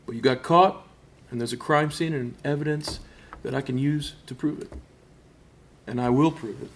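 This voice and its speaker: A man's voice: medium pitch, moderate pace, slight background noise, lightly acted. A man acting a hard, sarcastic police detective who confronts a suspect in a script read. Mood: threatening.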